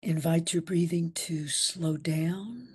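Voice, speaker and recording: A woman guides a meditation in a slow, relaxed voice. Her tone is breathy and a little husky, and she leaves long gaps between short, soothing phrases. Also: deep voice. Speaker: meditation instructor.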